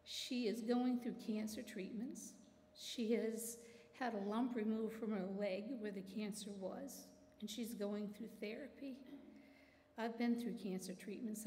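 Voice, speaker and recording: A woman's voice: medium pitch, dark tone. A middle-aged woman speaks earnestly to a congregation, sharing a personal story while holding back tears. Her voice carries a long reverb through a large hall, with faint background noise. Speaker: church member giving testimony. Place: large church sanctuary.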